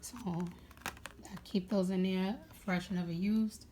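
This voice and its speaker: A young woman with a raspy voice chatting casually at home, rambling with many fillers as she goes through her makeup bag. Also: lowish voice, fairly even intonation, slow, dark tone, slight background noise. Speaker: beauty vlogger.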